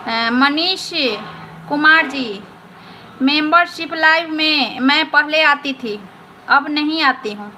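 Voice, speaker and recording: A woman hosts a casual live stream, answering viewers one by one in a high, chatty voice. She talks in loose repetitive loops and keeps checking that they understood. Noisy household ambience sits under her voice. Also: bright, forceful tone. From Patna, Bihar.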